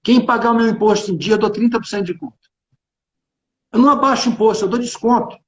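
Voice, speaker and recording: High-pitched, emphatic Carioca man. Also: fast, slight background noise. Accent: Carioca Brazilian Portuguese. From Rio de Janeiro, Brazil. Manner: emphatic, repeats phrases, colloquial and opinionated. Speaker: tax consultant and executive speaking on a livestream. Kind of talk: informal live business discussion. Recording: echoey room, dull band-limited livestream audio.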